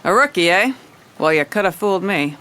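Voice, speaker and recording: An actress playing a jaded, wry police commissioner who narrates in hardboiled detective style in an audio drama. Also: female voice, lowish voice, expressive, a little fast, a bit bright, smooth. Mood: wry, weary. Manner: wry, world-weary. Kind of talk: scripted noir detective narration with dialogue.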